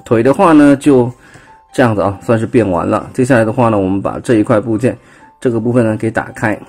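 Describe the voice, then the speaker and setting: A man with a Taiwanese Mandarin accent talks through a toy's transformation, pointing out each part as he goes and leaning on filler words. His voice is mellow and husky. He is recorded on a phone at an indoor table, with faint music underneath. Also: fairly low pitch, fast talker. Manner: explanatory, filler-heavy, pointing out parts step by step. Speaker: toy reviewer. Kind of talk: casual hands-on toy demonstration.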